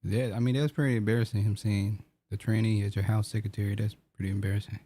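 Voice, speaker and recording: Brief: low male co-host, short dry remarks. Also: steady tone, fast, dark tone. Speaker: podcast co-host. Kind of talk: casual chat.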